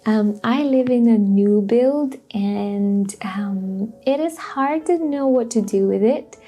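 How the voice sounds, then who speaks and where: A woman's voice: on the high side, takes it slowly, warm tone. A woman from Romania giving a chatty, personal lifestyle vlog voiceover. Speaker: lifestyle vlogger.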